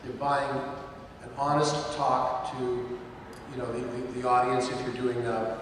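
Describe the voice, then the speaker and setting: A man on a live panel giving measured, explanatory advice off the cuff. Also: mid-range voice, flat delivery, a bit bright. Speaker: panelist, professional voice actor. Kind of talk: spontaneous advice to an audience. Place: large indoor convention hall. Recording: very echoey hall, distant, noisy.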